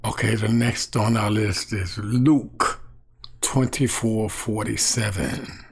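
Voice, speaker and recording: A middle-aged man teaching Bible verses to camera from a small room at home, slow and deliberate, repeating key phrases. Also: fairly low pitch, steady tone, vocal fry, slightly rough. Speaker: street evangelist.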